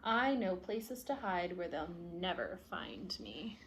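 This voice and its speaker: A woman's voice: on the low side, very animated, slow, a little hiss or hum, pitched below the performer's own voice, heavily acted, poor audio. A woman voicing a stubborn young storybook boy who refuses to move, speaking emphatically and defiantly. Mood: scheming. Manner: emphatic, defiant first-person storybook delivery.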